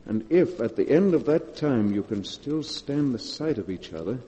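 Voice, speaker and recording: A man's voice: mid-range voice, animated, normal speed, dark tone, close to an everyday voice. A man acting a tense, guilty lover trapped in a cave, with urgent theatrical delivery in a 1940s radio horror drama. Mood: grave reading. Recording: muffled vintage radio, long hall reverb.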